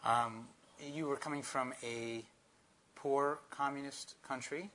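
A man's voice: mid-range voice, steady tone, slow, pushed and bright. A man with an American accent moderating a live conversation, asking casual, unscripted questions in a hesitant, stop-and-start way. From born in Moscow, moved to the US at age six. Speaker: moderator, interviewer.

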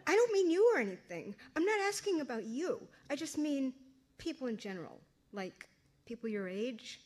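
Woman reading a teenage girl, high and swooping, pushy, exasperated, storybook. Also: big pitch swings, moderate pace. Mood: insistent. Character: excitable outspoken teenage girl.

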